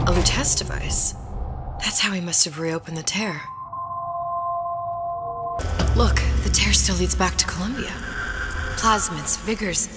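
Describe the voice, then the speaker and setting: A woman's voice: fairly low pitch, lightly acted. An actress playing a haunted, determined young woman in a video game, clever and hesitant as she thinks aloud. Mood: puzzled, urgent. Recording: game audio under loud music.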